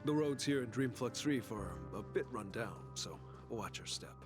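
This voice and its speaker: A man playing a polite, unassuming gravekeeper in a video game, speaking in a formal, measured way. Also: medium pitch, expressive, close to an everyday voice. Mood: courteous, calm. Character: polite unassuming male gravekeeper of a dream refuge. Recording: game music behind the voice.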